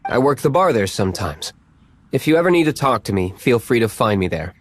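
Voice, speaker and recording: A man's voice: medium pitch, very animated, a little fast, slight background noise, lightly acted. An actor playing an aloof, brooding young nobleman in an anime-style game, cool, curt and serious.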